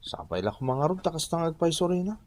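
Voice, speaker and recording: A man's voice: on the high side, big pitch swings, fast, a little mellow. An actor playing an ambitious, proud young man in a radio drama, speaking with an Ilocano accent. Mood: downcast, weary. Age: young adult. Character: ambitious, proud young son scorning his poor village.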